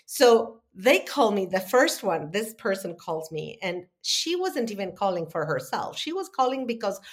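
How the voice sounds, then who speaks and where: A woman from Argentina, a podcast guest, talking earnestly in a casual conversation. She restarts her sentences often and jumps up high when she imitates the people she quotes. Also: normal speed. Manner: earnest and animated, with frequent restarts; voices quoted callers in a high, lively tone.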